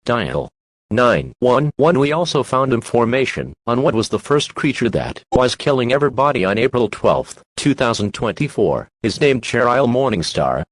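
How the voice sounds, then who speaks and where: A male announcer delivers a scripted emergency alert in a formal, impersonal, synthetic voice. The sound is very dry, with a mid-range pitch and no sense of a room around it. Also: no room sound, rough recording.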